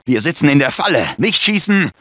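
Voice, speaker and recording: An actor playing an anxious research scientist in a dramatic video game, speaking in a fretful, earnest, theatrical manner. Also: male voice, fairly high pitch, very animated, a little fast, a bit bright, no room sound. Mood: panicked. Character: anxious male research scientist in a sci-fi disaster. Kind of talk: dramatic game character dialogue, German dub. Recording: dull lo-fi game audio.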